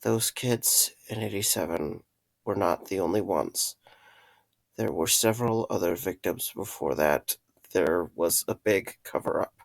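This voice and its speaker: A man acting an earnest, guilt-ridden man in an audio drama, with halting, serious delivery. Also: deep voice, creaky, dry recording. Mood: grim. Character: earnest, guilt-ridden man confessing dark secrets to a friend.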